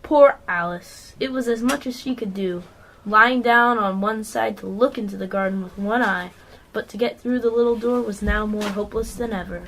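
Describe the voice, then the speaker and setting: A woman's voice: mid-range voice, moderate pace, a bit bright. A female narrator reading scripted storybook narration in an amateur radio play. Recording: faintly noisy amateur recording.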